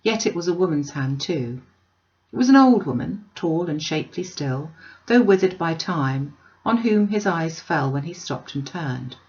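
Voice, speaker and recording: A woman reading a classic novel aloud as expressive storybook narration. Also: low-pitched, moderate pace, lo-fi sound. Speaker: audiobook reader.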